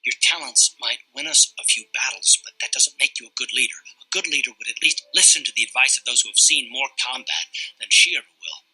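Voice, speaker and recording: An actor playing a seasoned, plain-spoken soldier in a video game, earnest and firm. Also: male voice, medium pitch, brisk, hardly any pauses, pushed and bright, breathy edge, poor audio. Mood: stern. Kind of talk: dramatic video game voice acting.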